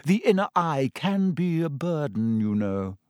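A man voicing a dreamy, theatrical older female seer who murmurs in a breathy, mystical way. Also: a little high, very animated, unhurried, a little mellow, dry recording, studio quality. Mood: haughty.

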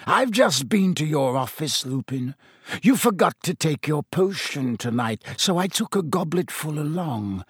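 A man's voice: a little high, sing-song, unhurried, a bit bright, slightly rough, dry recording, studio quality, full character voice. A man voicing a sneering, vengeful schoolteacher with a silky, menacing, contemptuous manner in an audiobook. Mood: breathless triumph. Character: sneering, triumphant, fanatical male schoolteacher bent on vengeance.

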